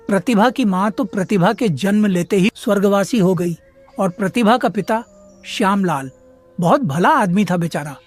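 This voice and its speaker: An actor playing a kindly, sorrowful elderly man in a dramatised moral story, speaking plainly and with sympathy. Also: high-pitched.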